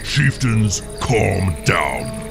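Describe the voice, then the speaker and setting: Man voicing a deep, reasonable orc chieftain. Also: sing-song, very slow, gravelly, loud music behind the voice, full character voice. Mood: placating. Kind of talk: scripted fantasy game cutscene acting.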